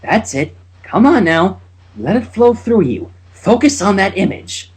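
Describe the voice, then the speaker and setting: A woman's voice: mid-range voice, big pitch swings, measured pace, darkish tone, slightly rough, heavily acted. A man voicing an earnest young unicorn mare who coaxes and encourages her pupil. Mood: encouraging. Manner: coaxing, encouraging.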